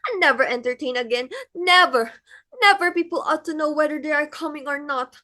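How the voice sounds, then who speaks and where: A woman's voice: sits high, a little fast, pushed and bright. A woman playing a flustered, imperious society mother in a stage comedy, with emphatic, theatrical exclamations. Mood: outraged, dramatic. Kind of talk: comedic stage-play acting.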